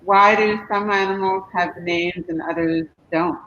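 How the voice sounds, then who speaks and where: A woman hosting a conversational live Q&A, affirming what others say and pausing as she goes. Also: lowish voice, fairly even intonation, a bit bright. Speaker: host of live Q&A. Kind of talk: conversational interviewing, reading viewer comments. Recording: dull lo-fi stream with background noise.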